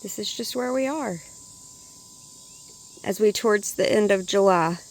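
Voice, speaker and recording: A woman vlogging a casual walk-through of her home garden, speaking in a chatty, relaxed way with a hoarse, congested voice. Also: medium pitch, measured pace. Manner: chatty, relaxed, thinking aloud.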